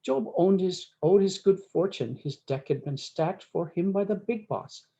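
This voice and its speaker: A woman with a soft, mellow voice reads a rhyming storybook aloud. Her delivery is folksy and speaks directly to listeners. The recording is slightly dull and lo-fi. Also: lowish voice, lively intonation, a little slow. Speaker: story time host reading a picture book. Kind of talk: read-aloud of a rhyming cowboy storybook with brief asides.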